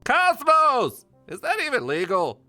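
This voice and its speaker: Theatrical high judge: bright, booming, easily baffled, hugely animated pitch. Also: male voice, measured pace, soft background music, full character voice.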